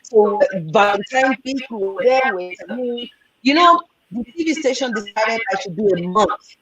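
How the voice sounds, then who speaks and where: A woman with a Nigerian accent, a film and TV producer, telling animated stories as a guest on a video call. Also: fairly high pitch, a little slow, slightly rough, no room sound, rough recording. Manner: animated, repeats words for emphasis. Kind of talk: candid storytelling in a conversation.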